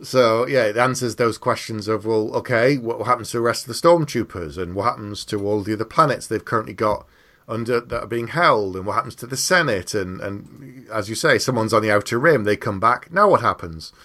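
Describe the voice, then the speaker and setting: A man's voice: on the low side, fairly quick, pushed and bright. A middle-aged man hosting a podcast in a casual, explanatory way, sometimes acting out imagined remarks. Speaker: podcast host. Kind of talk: casual chat about books and films.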